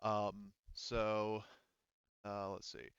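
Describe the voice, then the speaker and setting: A man's voice: on the low side, completely flat delivery, drawn-out words, a bit bright, clean tone, no room sound, lo-fi sound. A man acting as game master, explaining and improvising casually in a rambling, self-correcting way.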